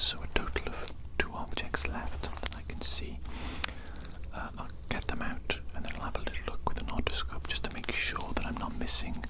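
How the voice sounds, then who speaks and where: A British woman playing an eccentric, mischievous evil doctor in an ASMR roleplay, whispering breathily in a slow, repetitive, deadpan, musing way. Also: close to an everyday voice, poor audio.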